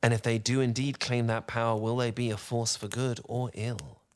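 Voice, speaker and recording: Guy doing a soft spoken charming wizard telling a bedtime story, theatrical, mid pitched, asmr roleplay. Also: steady tone, lightly acted. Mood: grave, theatrical. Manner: theatrical, intimate, dramatic pauses.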